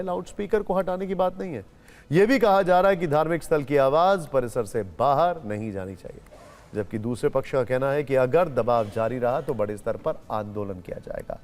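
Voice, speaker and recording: A man's voice: mid-range voice, expressive, a little fast, a little mellow. A man presenting television news as an anchor, explaining points with emphasis.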